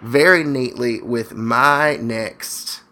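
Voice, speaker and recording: A man's voice: mid-range voice, extremely slow, sharp tone. A man hosting comedic radio banter, teasing his co-host in a wry, mock-dramatic way.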